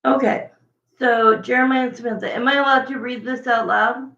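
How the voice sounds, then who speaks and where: A woman with a raspy voice doing a casual livestream tarot reading, chatting and thinking aloud between viewer questions. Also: a little high, fairly even intonation, moderate pace, fairly bright tone. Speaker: tarot reader. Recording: echoey, dull lo-fi room sound.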